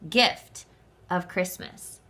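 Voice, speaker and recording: A woman's voice: extremely slow, bright, forceful tone. A woman voicing a kindly male train conductor in a children's storybook, speaking in an emphatic, proclaiming way. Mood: informative. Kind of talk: children's storybook read-aloud.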